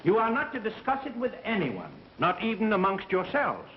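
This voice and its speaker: An actor playing a pompous, blustering company president who speaks like a formal orator, in a 1930s mystery film. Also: male voice, sits high, huge pitch swings, normal speed. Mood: pompous, stern. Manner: oratorical, self-important, formal. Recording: echoey, muffled, hissy 1930s film soundtrack.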